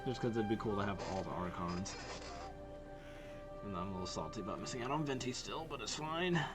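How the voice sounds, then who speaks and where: Low-voiced man musing out loud. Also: fairly quick, loud music behind the voice. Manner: musing, thinks aloud. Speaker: reaction video host. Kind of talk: casual reaction commentary.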